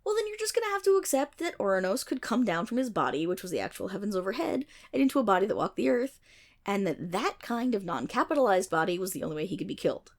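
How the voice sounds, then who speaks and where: Woman acting as female best friend, nerdy, chatty, sarcastic, animated intonation, crackly vocal fry, casual ASMR roleplay storytelling. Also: medium pitch, quick pace. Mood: explaining, wry. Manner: rambling, wry, sarcastic, theatrical asides.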